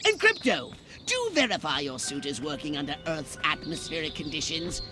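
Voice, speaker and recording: An actor voicing a haughty, scheming alien commander in a video game, theatrical, wordy and condescending. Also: male voice, on the high side, huge pitch swings, moderate pace, music in the background. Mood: impatient, barking. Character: pompous, exasperated, scheming male alien commander. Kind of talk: video game cartoon villain acting.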